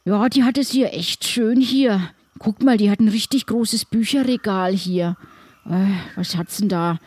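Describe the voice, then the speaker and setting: A woman's voice: medium pitch, unhurried, darkish tone, slightly rough. A woman playing a chummy, teasing pirate companion in an improvised audio drama, talking informally. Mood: curious. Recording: faint background noise.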